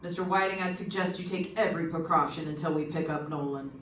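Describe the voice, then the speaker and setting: An actor playing a brisk, matter-of-fact police lieutenant in an old-time radio detective drama. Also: mid-range voice, fairly bright tone, natural voice. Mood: brisk, businesslike. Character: businesslike police homicide lieutenant. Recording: echoey, distant, noisy room.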